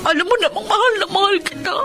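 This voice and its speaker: A woman's voice: very high voice, quick pace, music in the background. An actress with a Filipino accent playing a fisherman's worried, loving wife in a radio drama. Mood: tender, concerned.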